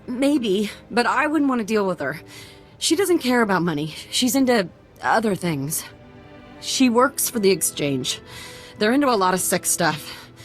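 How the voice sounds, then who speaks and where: Plain spoken dancer in a game, cautious, normal pitch, light music behind. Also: female voice, very animated, measured pace. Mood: wary. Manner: casual, wary, matter-of-fact. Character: young female dancer, plain-spoken and wary. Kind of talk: video game voice acting.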